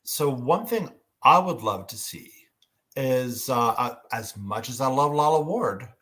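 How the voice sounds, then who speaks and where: A man's voice: medium pitch, animated, a little slow, sharp tone. Man casually moderating a fan panel. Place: online video call.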